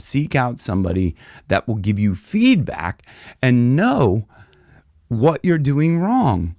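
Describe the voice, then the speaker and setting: Low-voiced man giving rambling singing advice. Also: mellow, no echo, clean studio sound. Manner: rambling, loose, frequent restarts and "like". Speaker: vocal coach and professional rock singer. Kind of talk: casual direct-to-camera advice.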